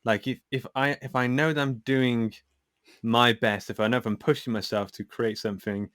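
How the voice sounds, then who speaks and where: A man speaking as a podcast guest in a casual conversation, reflective and candid, often halting as he works out his thoughts. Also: medium pitch, fairly even intonation, a bit bright, clean tone, no room sound, clean studio sound.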